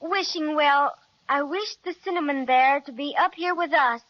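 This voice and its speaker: A woman's voice: high-pitched, moderate pace, natural voice. A woman playing a sweet, loyal little girl with earnest exclamations in a children's radio serial. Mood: pleading. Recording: dull vintage radio recording.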